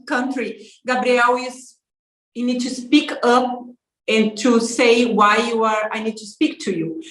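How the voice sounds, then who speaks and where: Woman, from Brazil, slow halting English, slightly high voice, echoey room. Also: a bit bright. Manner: slow, hesitant, halting English with many fillers. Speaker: interview guest, parent. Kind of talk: casual interview answers. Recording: roomy echo.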